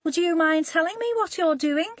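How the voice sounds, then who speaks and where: Prim posh scouse neighbour lady, high and nosy, woman reader doing her. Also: moderate pace, no room sound. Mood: suspicious. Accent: posh Liverpool.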